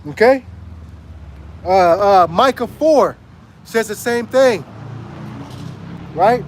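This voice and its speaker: A man preaching outdoors in an emphatic street sermon style, repeating lines and checking in with "right?", his voice going hoarse. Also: sits high, animated, very slow, slight background noise.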